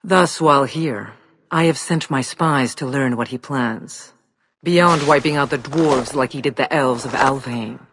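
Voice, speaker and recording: An actor voicing a proud, defiant giant lord in a video game, with a theatrical, measured and scornful delivery. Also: male voice, medium pitch, natural voice. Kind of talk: dramatic video game voice acting. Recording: clear background noise.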